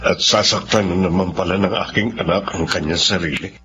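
A man's voice: deep voice, fairly even intonation, fairly quick, sharp tone. A man playing a grave, resigned middle-aged father in a Filipino radio drama. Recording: muffled, slightly noisy radio drama audio.

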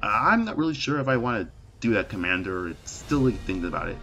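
A man's voice: lowish voice, big pitch swings, fairly quick, sharp tone, faint music behind, heavily acted. A man playing a reluctant, offhand president in an improvised comedy parody dub, speaking in a casual, hesitant way.

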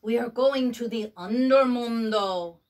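A woman's voice: fairly high pitch, measured pace, a little mellow, natural voice. A woman reading aloud and voicing a pack of small male chihuahua dogs who speak as one in an earnest chant. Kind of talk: storybook read-aloud.

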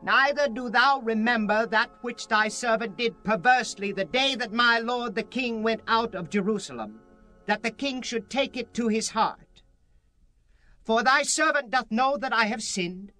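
A man's voice: very high voice, measured pace, sharp tone, faint music behind, heavily acted. A man playing an earnest subject of a king in a scripture audio drama, speaking archaic declamatory lines. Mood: pleading.

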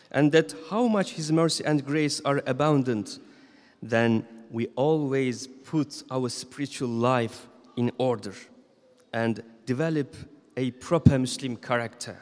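A man in his thirties giving a Friday sermon as imam, reading from notes in a measured, solemn way at a stand microphone in a large mosque hall. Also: medium pitch. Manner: measured, solemn reading with slow emphatic phrases. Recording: long reverb of a mosque hall.

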